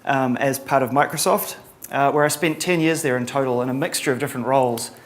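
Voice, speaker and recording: A middle-aged man from New Zealand with a Kiwi accent, giving a relaxed conference talk with lots of ums and a dry sense of humor. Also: mid-range voice, fairly quick. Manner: casual, many ums and uhs, dry humor. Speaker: conference presenter.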